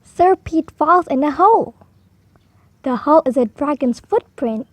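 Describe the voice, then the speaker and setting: A woman reading a children's picture book aloud slowly and clearly, with expressive delivery for beginner readers. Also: high-pitched, no echo. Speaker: storybook reader. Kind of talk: slow children's read-aloud.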